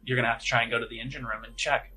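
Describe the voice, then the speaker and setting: A man's voice: medium pitch, level tone, racing, music in the background. A man narrating a tabletop role-playing game as game master in a conversational, descriptive, improvised way.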